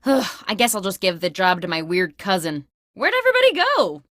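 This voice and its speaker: Scatterbrained female boss, oblivious and bossy, bright voice, swooping intonation, theatrical comedy game delivery. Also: mid-range voice, huge pitch swings, a little fast, no room sound, clean studio sound. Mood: miffed, exasperated. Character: self-absorbed, scatterbrained woman office boss and job interviewer. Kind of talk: scripted comedic video game host lines.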